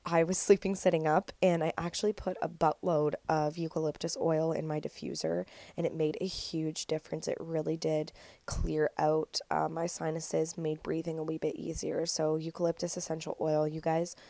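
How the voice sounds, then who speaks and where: A woman's voice: low-pitched, level tone, normal speed, dark tone, no echo. A young woman in her twenties hosting a casual solo podcast, stuffed up and nasal with a cold, chatty and upbeat with lots of "like". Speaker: podcast host.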